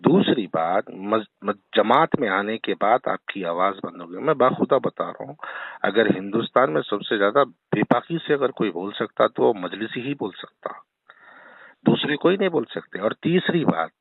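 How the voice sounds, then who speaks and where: A man's voice: on the low side, animated, normal speed, gravelly, dry recording. Insistent man on a tinny phone line. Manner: defensive, insistent, talks over the caller. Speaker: local party politician on a phone call. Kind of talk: heated phone argument. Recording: tinny phone call recording.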